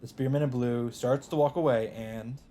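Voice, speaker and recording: A man narrating a visual novel as a let's play host, reading the text aloud in a deliberate, emphatic, dramatic style. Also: mid-range voice, steady tone, dark tone, slight background noise.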